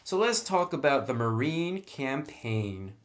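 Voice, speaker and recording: A man's voice: mid-range voice, sing-song, takes it slowly. A man giving a casual, unscripted review of a roleplaying book in a conversational, enthusiastic way. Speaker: reviewer of tabletop roleplaying books.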